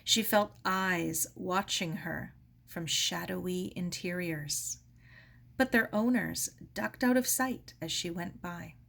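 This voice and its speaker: A woman reading a children's novel aloud as narrator, in a measured, expressive storytelling manner. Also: fairly low pitch, gravelly. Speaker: story reader.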